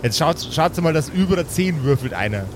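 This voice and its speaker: A man's voice: lively intonation, brisk, over music. A man acting as game master, narrating an improvised tabletop game in a casual way. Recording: clear rumbling ambient noise behind.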